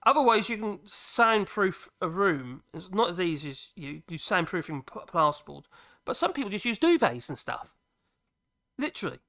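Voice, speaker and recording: A man, chatting casually on a live stream from his workshop, a bit husky today and prone to rambling and correcting himself. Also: very animated, moderate pace, pushed and bright. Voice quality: husky at the moment, fairly high voice. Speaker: woodworking channel host. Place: cluttered home workshop, indoors. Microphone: cheap USB desk microphone. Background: air compressor running at times.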